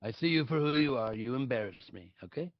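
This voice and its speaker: Man doing a blustery, gravelly Trump-style politician. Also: medium pitch, expressive, fairly quick, muffled recording, lightly acted. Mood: accusing. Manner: blustery, dismissive, curt. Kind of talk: comedic table-read acting.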